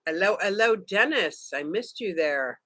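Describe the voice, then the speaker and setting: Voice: medium pitch, lively intonation, unhurried, pushed and bright, no room sound. A livestream host chatting casually with viewers from a vacation room, joking around and greeting people by name. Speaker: livestream host reading chat. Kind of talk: casual live chat.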